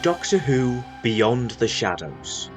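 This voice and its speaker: A man's voice: medium pitch, very animated, slow pace, over loud music. A man delivering a scripted title announcement as the announcer of an audio drama.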